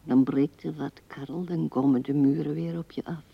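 An actress playing an old widow who muses tenderly and haltingly to her dead husband in a radio play, often sinking to a whisper. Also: sits low, fairly even intonation, gravelly, breathy edge, exaggerated voice. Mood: weary, hurt. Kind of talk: intimate radio play monologue. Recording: dull, dry vintage radio recording.

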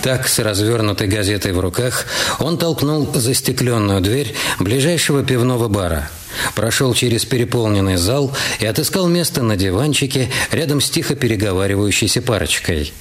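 A man's voice: medium pitch, lively intonation, moderate pace, natural voice. A man narrating a literary detective story in an audio drama production. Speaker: narrator in a radio play. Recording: music under the voice. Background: music.

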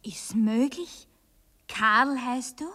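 High-voiced, coy Viennese girl. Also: extremely slow, sharp tone, heavily acted. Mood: wistful, breathy. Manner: coy, naive, chattering in dialect. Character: coy, chatty nineteen-year-old Viennese working-class girl. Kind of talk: German-language radio play acting.

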